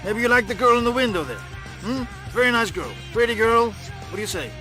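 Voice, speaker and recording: A man's voice: very high-pitched, lively intonation, fairly quick, music in the background. A man playing a chatty, tipsy, leering harbour bar local in a video game, talking in simple clipped phrases. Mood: jovial, sly.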